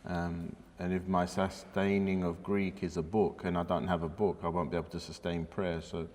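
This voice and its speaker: A very low male voice that talks slowly and haltingly with many pauses and fillers. It sounds as if he is in a hall with a long echo. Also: level tone, a little mellow. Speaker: panel member. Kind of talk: casual panel discussion.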